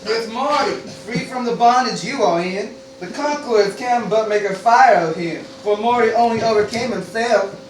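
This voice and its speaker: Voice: mid-range voice, moderate pace, hardly any pauses, a little hiss or hum, lightly acted. An actor playing a loyal, deferential servant soldier in a Shakespearean radio play. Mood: loyal, sombre. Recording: very echoey room, distant mic.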